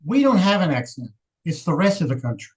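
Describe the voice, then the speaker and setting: A man from Texas co-hosting a sewing livestream over a video call, chiming in with quick jokes. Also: a little high, sing-song. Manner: quick joking interjections. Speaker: livestream co-host. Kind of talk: casual livestream chat.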